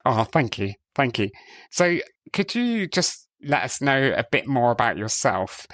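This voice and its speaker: A man's voice: medium pitch, sing-song, measured pace, fairly bright tone, no room sound, studio quality. A man hosting a podcast, speaking conversationally with an enthusiastic, effusive manner. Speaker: podcast host. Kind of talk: conversational podcast introduction and interview.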